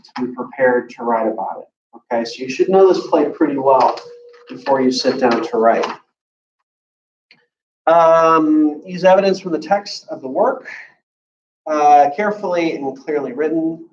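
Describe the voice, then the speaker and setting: An American man speaks as a college instructor in a slightly high voice. He explains things informally and hesitantly, in an easygoing way with mid-sentence pauses. The sound is echoey and muffled, picked up at a distance from the mic in a classroom. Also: darkish tone. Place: classroom with students.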